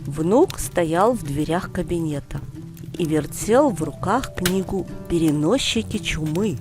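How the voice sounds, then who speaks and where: A woman reading a story as an audiobook narrator, with expressive scripted delivery. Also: fairly low pitch, takes it slowly. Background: music behind the voice.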